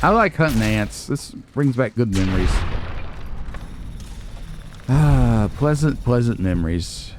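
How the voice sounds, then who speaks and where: A man's voice: mid-range voice, slight background noise. A man hosting a let's play, chatting casually and playfully to himself and viewers with folksy, wry asides. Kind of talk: casual game commentary, talking to himself and the viewers.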